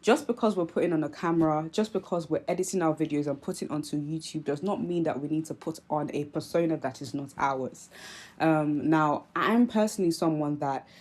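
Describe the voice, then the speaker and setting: Woman, twenties, Nigerian-British accent, earnest candid vlog talk, low voice, lots of ums. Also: a little fast, a little mellow, breathy edge. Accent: mixed Nigerian and British. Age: 20s. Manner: earnest, candid, many fillers and restarts. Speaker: YouTuber. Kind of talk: opinion vlog to camera.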